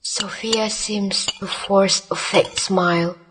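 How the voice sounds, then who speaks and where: A woman narrating a scripted radio drama in a deliberate storytelling manner. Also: mid-range voice, steady tone, fairly bright tone. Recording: music and crowd noise behind. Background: clear music and crowd ambience.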